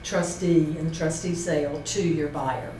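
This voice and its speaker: A woman's voice: deep voice, fairly even intonation, unhurried, mellow. A woman presenting an informal instructional talk, explaining step by step and checking points with a colleague. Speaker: seminar presenter. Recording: roomy echo, distant mic, faint noise.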